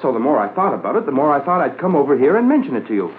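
Rambly hesitant shopkeeper in old 50s radio play, phone-like tinny audio, bit of noise. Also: male voice, on the high side, very animated, very fast. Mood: earnest, hesitant. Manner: hesitant, wordy, apologetic. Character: folksy rambling small-town shopkeeper, eager witness. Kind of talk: 1950s radio drama acting. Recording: lo-fi 1950s radio recording, faint noise.

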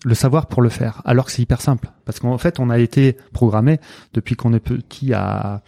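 Middle-aged man with a Marseille accent, dry close sound, halting casual talk. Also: medium pitch, creaky, mellow, no room sound. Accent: Marseille French. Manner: hesitant, many fillers and restarts. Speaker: guest, coach and author.

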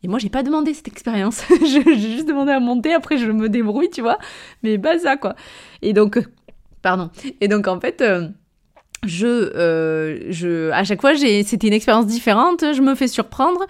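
A woman's voice: fairly high pitch, very animated, moderate pace. A woman with a southern French accent hosting a podcast, telling a personal story in a casual, rambling way with lots of hesitations and self-corrections. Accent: southern French, Avignon. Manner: chatty and rambling, full of hesitations, self-corrections and brief laughs; addresses the listener familiarly. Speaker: podcast host, entrepreneur.

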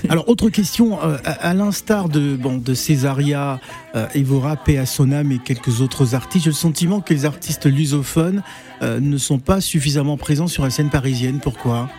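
A man hosts a relaxed radio interview with a slightly raised voice, stalling often on fillers as he builds his questions. Faint music plays behind him. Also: a little high, moderate pace. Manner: hesitant, full of "euh" fillers, playful. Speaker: radio host.

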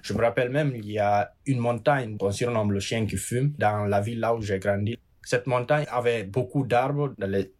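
Young man, twenties, from Guinea, earnest, halting, climate ambassador. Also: fairly low pitch. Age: 20s. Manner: earnest, halting, with fillers and repeated words. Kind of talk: interview testimony.

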